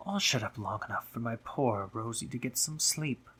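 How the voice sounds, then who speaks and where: A man playing a teasing, dominant, courtly spider monster in an intimate audio roleplay, theatrical and breathy, often dropping into whispers. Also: mid-range voice, moderate pace, sharp tone, a little hiss or hum, lightly acted. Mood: tender, coaxing. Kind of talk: intimate scripted audio roleplay, addressing the listener.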